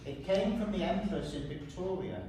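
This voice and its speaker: A man in his seventies from Wales, reading his own poems in a measured, deliberate way, with quiet asides to a small audience. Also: high voice. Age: 70s. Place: gallery room. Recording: echoey hall, distant mic, background noise.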